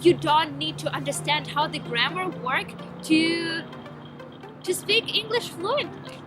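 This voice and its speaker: A young woman with a Ukrainian accent speaks eagerly and with emphasis, her voice high and bright in pitch. She stops now and then to search for a word. Music plays clearly under her voice. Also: takes it slowly. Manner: eager, emphatic, with some hesitations. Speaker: vlogger. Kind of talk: enthusiastic to-camera vlog.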